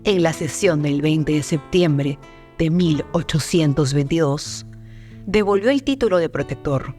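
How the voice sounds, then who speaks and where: A woman's voice: low voice, lively intonation, measured pace, darkish tone, faint music behind. A woman reading scripted historical documentary narration in a formal style.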